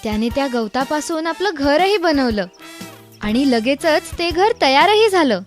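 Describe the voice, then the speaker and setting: Woman narrator, high pitch, scripted children's storytelling, faint music underneath. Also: lively intonation, unhurried. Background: background music.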